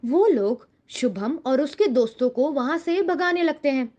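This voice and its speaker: Casting: female narrator for children's moral stories, high-pitched voice, scripted storytelling, slightly dull lo-fi sound. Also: animated, measured pace, warm tone.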